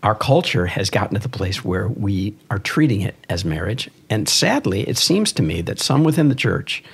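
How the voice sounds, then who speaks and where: A man's voice: fairly low pitch, big pitch swings, normal speed, creaky, slightly rough. A man speaking as a priest and podcast host in earnest religious discussion, emphatic and given to repeating key phrases.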